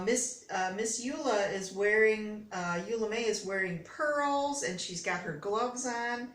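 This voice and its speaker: An older American woman reads a children's picture book aloud expressively, with chatty personal asides. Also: medium pitch. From Detroit, United States. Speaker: storybook reader. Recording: roomy, echoey, distant microphone.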